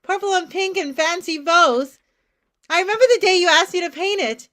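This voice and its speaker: A woman's voice: extremely high pitch, normal speed, a bit bright. An actress playing an anxious, guilt-ridden mother of a college-age daughter in a staged play reading, pleading and insistent. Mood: nostalgic.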